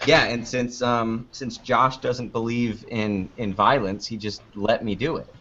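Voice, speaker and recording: A man's voice: medium pitch, little pitch movement, unhurried, fairly bright tone. A man giving casual interview answers in a joking, anecdotal way, acting out little exchanges as he goes. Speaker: podcast producer and video creator being interviewed. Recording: dull lo-fi audio.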